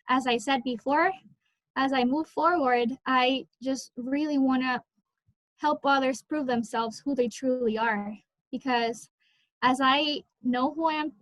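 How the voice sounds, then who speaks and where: A woman who learned English as a second language, giving a personal speech in short, measured phrases with pauses at an online event. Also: high-pitched, a bit bright, dry recording, low-quality recording. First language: not English. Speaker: scholarship recipient. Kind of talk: personal speech about her life and goals.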